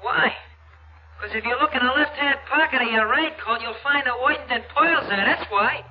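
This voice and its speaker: An actor playing an excitable, slangy small-time crook who stammers to his boss in a 1940s radio crime drama. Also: very high voice, moderate pace. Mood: urgent, puzzled. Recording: muffled, noisy old radio recording.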